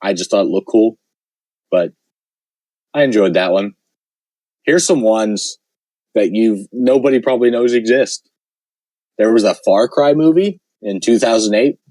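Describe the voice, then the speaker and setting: A man with a lowish voice chats casually on a podcast, rambling and leaning on fillers. His voice sounds worn and hoarse. The sound is dry and close. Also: unhurried, long pauses, mellow, dry recording, poor audio. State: losing his voice. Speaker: podcast host. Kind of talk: casual chat.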